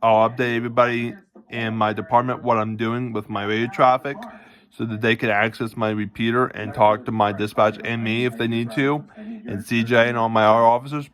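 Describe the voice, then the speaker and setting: A man talking casually to camera in a halting, rambling way, often restating himself as he explains things. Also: mid-range voice, flat delivery, a bit bright. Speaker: self-described police department chief recording a vlog. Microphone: phone.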